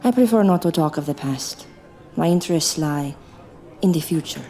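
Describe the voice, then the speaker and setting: An actress playing a cool, mysterious, seductive femme fatale in a noir audio drama, speaking formally and with measured aloofness. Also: female voice, deep voice, animated, dark tone. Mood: aloof.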